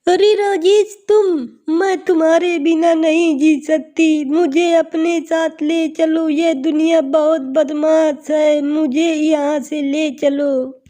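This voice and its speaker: A very high, mellow voice for a young village woman in love , acted by a woman. She is distraught and plaintive, pleading slowly as in a cartoon bedtime ghost story. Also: flat delivery. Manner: plaintive, pleading, drawn-out cries.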